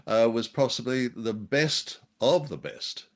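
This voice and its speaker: A man's voice: medium pitch, expressive, takes it slowly. A man co-hosting a radio show, reviewing drama casually in a wry, playful way with wordy turns of phrase.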